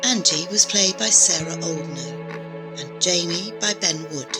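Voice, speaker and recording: A woman's voice: fairly low pitch, measured pace. A woman announcer giving a scripted narration of audio drama credits. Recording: music under the voice. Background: prominent music.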